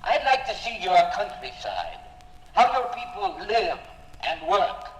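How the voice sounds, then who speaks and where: A man's voice: high-pitched, wildly swooping pitch, a little slow, very noisy, full character voice. A man playing a courteous, admiring visitor to the countryside in 1950s radio drama style. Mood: wistful admiration. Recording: echoey 1950s radio recording, music behind.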